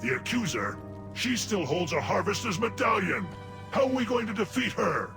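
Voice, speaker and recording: A man playing a gruff, aggressive soldier commander in a fantasy video game, with a gravelly, barking delivery. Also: high-pitched, big pitch swings, normal speed, exaggerated voice. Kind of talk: video game monster acting. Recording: dramatic music behind.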